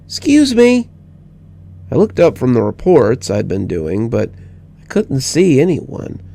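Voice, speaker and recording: Guy reading creepypasta, measured storyteller, croaky fry in his voice, lively pitch. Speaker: horror story narrator. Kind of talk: scripted first-person narration.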